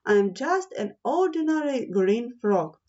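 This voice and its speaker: A woman's voice: on the high side, very animated, takes it slowly, mellow, highs cut off. A woman voicing a small, easily discouraged male frog in a raised, plaintive voice for an audio storybook. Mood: downcast.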